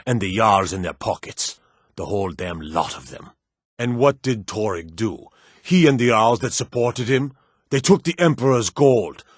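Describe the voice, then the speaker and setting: An actor playing a gruff, proud Nordic warrior and guard in a fantasy video game, speaking in a firm, emphatic, declamatory way. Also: male voice, lowish voice, dry recording, clean studio sound. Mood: fervent, proud. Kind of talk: dramatic video game dialogue.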